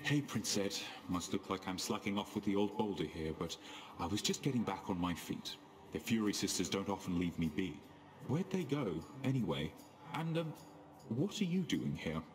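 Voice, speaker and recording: A man's voice: deep voice, animated, moderate pace, soft background music. A man voicing a weary, wry condemned soul in a video game, speaking with sardonic deference. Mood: wry, deferential. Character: sullen, sardonic condemned male shade pushing a boulder.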